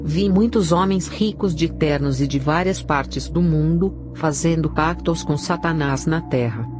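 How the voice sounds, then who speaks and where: Brazilian lady narrating a scripted religious testimony, music playing clearly behind her. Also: deep voice, unhurried. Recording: clear background music under the voice.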